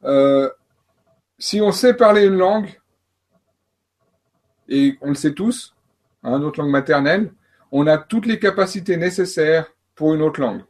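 A man's voice: fairly high pitch, animated, a little slow, long pauses. A man who works as a coach and trainer, explaining learning methods in a panel talk, with frequent fillers and restarts. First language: French. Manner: hesitant, many fillers and restarts.

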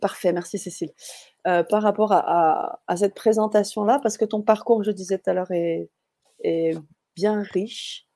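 Une femme qui anime une visioconférence en direct, qui accueille le public et donne la parole à son invité. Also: female voice, fairly low pitch, creaky, warm tone, dry recording. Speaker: host. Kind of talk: live webinar hosting.

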